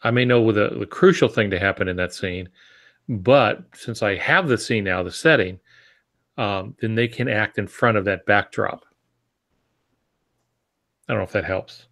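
A man in his sixties with a lowish voice, talking as a podcast co-host. He gives casual, reflective advice over a video call from his home office. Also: normal speed, long pauses, fairly bright tone. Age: 60s. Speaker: podcast co-host, experienced writer. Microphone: video call on earphones.

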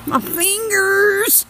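A woman's voice: very high-pitched, bright, forceful tone, slight background noise. A woman, chatting and giggling affectionately as she films a home video outdoors in a snowy yard on a handheld phone camera. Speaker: vlogger filming her husband. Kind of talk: casual home video narration.

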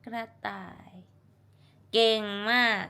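A woman's voice: slow pace, bright, forceful tone. A woman talking to her young children as their mother, teaching simply with repeated words and encouraging praise. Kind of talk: simple teaching talk to toddlers.

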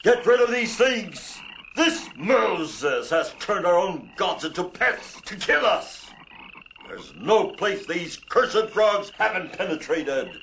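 Guy acting as an imperious egyptian pharaoh, high voice swinging all over, bible radio play, noisy with frogs croaking. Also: animated, takes it slowly, fairly bright tone, exaggerated voice. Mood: exasperated, commanding. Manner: imperious, scornful, commanding. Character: haughty, exasperated Pharaoh of Egypt. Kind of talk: biblical audio drama acting.

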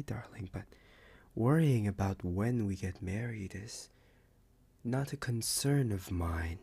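A man's voice: lowish voice, gravelly, darkish tone, husky, heavily acted. A man voicing a possessive, doting alpha werewolf boyfriend in an ASMR roleplay, intimate and suave, slipping into whispers. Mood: impatient, coaxing. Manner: slow, intimate, partly whispered, suave and condescending. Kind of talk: ASMR romance roleplay acting.

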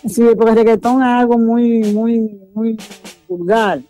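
A woman talks on the phone in a high, soft-toned, mellow voice. She sounds nervous and halting, often repeating her words, with faint music behind her. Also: steady tone, low-quality recording. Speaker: prank call victim. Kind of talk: phone conversation. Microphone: telephone call.

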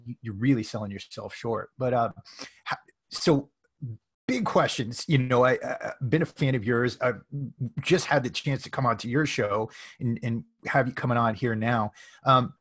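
A middle-aged man hosting a podcast interview, relaxed and friendly, often ending with "right?". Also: mid-range voice, moderate pace, slightly rough. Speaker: podcast host. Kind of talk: casual conversational interview. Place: home office. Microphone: video call.

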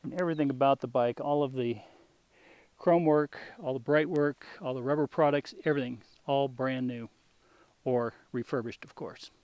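A man walks a buyer through a restored classic motorcycle in an easy, unhurried sales patter with a dry wit. His voice sits at a middling pitch, and the sound is close and dry with little room around it. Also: steady tone, darkish tone, dry recording. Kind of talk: off-camera sales walkthrough.

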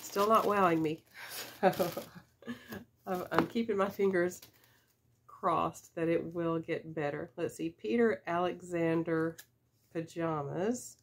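A woman's voice: on the low side, slow, a little hiss or hum. A woman in her fifties with a stuffy head cold, chatting casually and unhurriedly as she unboxes items, with small laughs and fillers. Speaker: reseller vlogger. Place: small room with shelves of boxes, indoors. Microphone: camera at desk distance.

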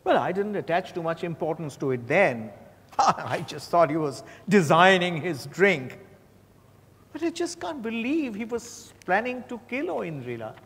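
A man's voice: sits high, sing-song, a little slow, fairly bright tone, slightly rough, exaggerated voice. An older man acting a gossipy witness confiding suspicions in a theatrical dramatized stage reading. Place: large hotel ballroom stage. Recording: echoey hall, picked up from the audience.

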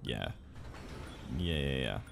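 A man's voice: rumbling low, animated, slow, gravelly, music in the background. A man giving casual, conversational and opinionated commentary as a video game streamer.

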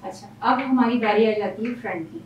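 A woman's voice: fairly high pitch, a little fast, a little mellow, roomy sound, recorded from a distance, lo-fi sound, slight background noise. A woman hairstylist explaining each step of a hairstyle as she works in a salon. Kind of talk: hairstyling tutorial. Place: salon room.

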